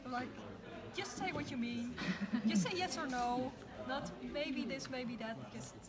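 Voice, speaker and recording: A woman's voice: high-pitched, unhurried, a loud crowd. A young woman, direct and emphatic in an interview. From Netherlands. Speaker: exchange student. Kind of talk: casual interview answers.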